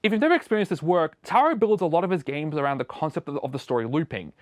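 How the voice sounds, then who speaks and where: A man's voice: a little high, animated, quick pace, no echo. A man presenting a scripted opinion video essay as a show host, recorded as a close voice-over.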